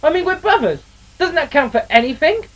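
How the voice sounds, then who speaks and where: A man's voice: very high voice, sing-song, a little fast, a bit bright, pitched above the performer's own voice, full character voice. A man doing a chatty, folksy steam engine. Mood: pleading. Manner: folksy, jokey, calls others lad and mate. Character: chatty cheerful male steam engine storyteller.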